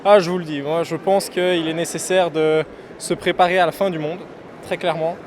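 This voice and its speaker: A man's voice: fairly high pitch, steady tone, moderate pace, a little hiss or hum. A man stopped in the street for an interview, talking bluntly and emphatically. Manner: blunt, doom-laden and emphatic. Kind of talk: street vox pop.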